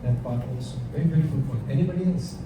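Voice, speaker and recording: A man's voice: a little high, very fast. Man leading a devotional discussion. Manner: engaging, questioning, laughs often. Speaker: discussion leader. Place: room at a home gathering. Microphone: far from mic. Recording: echoey room, far mic, background noise.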